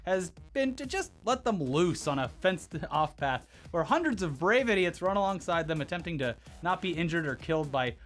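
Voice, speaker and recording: A man co-hosting a scripted comedy news show, animated and sarcastic, laughing often. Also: on the high side, normal speed. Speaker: co-host.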